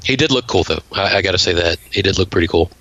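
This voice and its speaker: A man in his forties co-hosting a casual podcast, answering in a hesitant, halting way. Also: low voice, bright, forceful tone, muffled recording. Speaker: podcast co-host.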